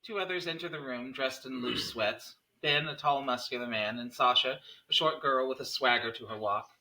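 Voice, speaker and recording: Bright-voiced man reading stage directions. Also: mid-range voice, normal speed, a little hiss or hum, rough recording. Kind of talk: scripted screenplay narration.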